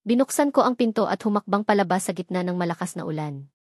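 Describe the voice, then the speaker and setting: A woman's voice: lowish voice, animated, fast, no echo. Woman narrating a dramatic story, dry sound. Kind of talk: dramatic first-person scripted narration in Tagalog drama storytelling.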